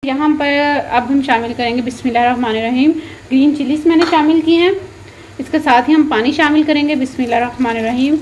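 Woman, high voice, homely cooking narration, blessings throughout, faint kitchen sizzle. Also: quick pace, darkish tone, a little hiss or hum, poor audio. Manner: frequent religious blessings, homely instructive. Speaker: cooking channel host. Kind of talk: narrating a home cooking recipe. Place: home kitchen.

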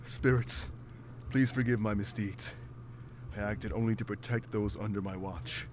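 A man's voice: fairly low pitch, fairly even intonation, a little slow, noisy background, lightly acted. An actor playing a solemn, protective male beast warrior in a fantasy game, grave and earnest. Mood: remorseful.

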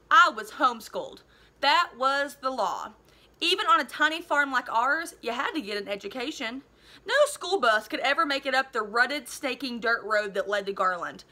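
Bright, high-voiced woman reading aloud. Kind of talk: expressive read-aloud of a children's novel. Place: living room at home.

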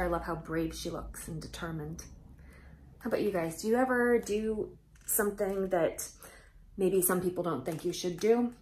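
Low-voiced woman reading to kids. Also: a little hiss or hum, low-quality recording. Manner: expressive, addresses children directly, emphatic. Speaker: children's storyteller and host. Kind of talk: storybook read-aloud for children. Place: indoors, small room, close to camera.

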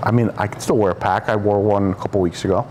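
A young man chatting casually, joking at his own expense in a deadpan way. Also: fairly low pitch, fast. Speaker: show host and business owner. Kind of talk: casual chat. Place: indoor garage workshop. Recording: background noise in a workshop.

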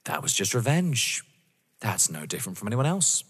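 An actor playing a wounded, vengeful and menacing man in an audio drama, speaking with halting dramatic pauses. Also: on the low side, very animated, fairly bright tone, slightly rough, heavily acted. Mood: wounded, intense. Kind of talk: full-cast audio drama acting of a stage play. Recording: long hall reverb.

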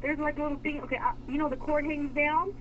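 A woman working as a store clerk, patiently and haltingly explaining things on a customer service phone call. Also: high voice, steady tone. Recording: dull, noisy telephone line.

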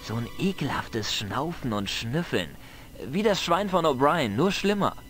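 A man's voice: a little high, animated, a little slow, fairly bright tone, faint music behind. A man voicing a cheeky, defiant teenage village boy in a video game, boyish, slangy and cocky, with a flair for dramatic tall tales. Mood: spooky, dramatic.

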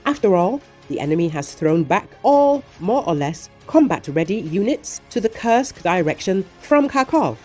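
A woman reading a scripted news report in a measured, even voice, relaying quotes from others. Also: medium pitch. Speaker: news narrator. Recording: voice-over with faint music underneath.

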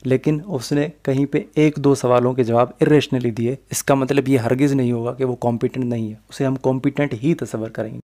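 A man's voice: medium pitch, warm tone. A man with a Pakistani Urdu accent giving a slide lecture on evidence law, mixing in English legal terms as he explains step by step.

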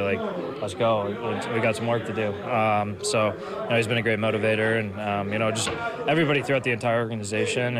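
A young man talks into a cluster of handheld microphones in a team clubhouse, with loud crowd noise behind him. His fairly low voice stays flat and even. He leans on fillers and speaks with easy humility. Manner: humble, flat, filler-heavy. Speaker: baseball player. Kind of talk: postgame clubhouse interview. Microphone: handheld reporters' microphones.

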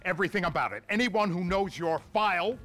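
Stern schemer, high pitch, animated emphasis, threatening, faint music, game cutscene. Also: male voice, a little fast. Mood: stern warning. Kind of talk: video game cutscene dialogue.

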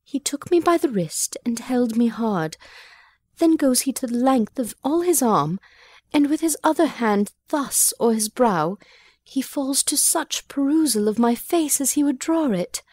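High-voiced frightened young noblewoman played by a woman. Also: lively intonation, measured pace, a little mellow, no room sound. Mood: anxious recounting. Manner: earnest, distressed, theatrical. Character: frightened, obedient young noblewoman confiding in her father. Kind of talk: dramatic reading of a Shakespeare play.

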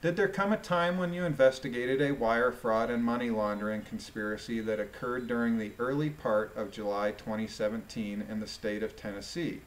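A man reading a court transcript aloud as questions and answers, deliberately, with informal delivery as a commentator. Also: mid-range voice, low-quality recording.

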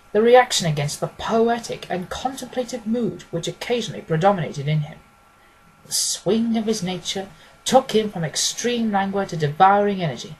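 A man's voice: high voice, slight background noise, low-quality recording. A man reading scripted literary narration as an audiobook reader.

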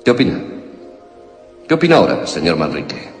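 Un actor con acento castellano interpreta en un radioteatro de suspenso a un científico padre de familia, implacable y atormentado, de tono teatral y autoritario. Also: male voice, sits low, very animated, a little fast. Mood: insistent, menacing. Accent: Castilian Spanish. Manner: theatrical, authoritative. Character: ruthless, tormented scientist father justifying monstrous experiments. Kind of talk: suspense radio drama acting. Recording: long reverberation, music underneath.

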